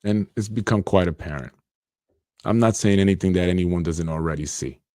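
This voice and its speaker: An earnest man in his forties from Yonkers, New York, talking straight into the mic on his own podcast. His voice sits fairly low, he repeats himself and leans on "you know," and the room sounds dry and close. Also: a little fast, dark tone, dry recording. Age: 40s. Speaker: podcast host. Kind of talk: solo podcast monologue.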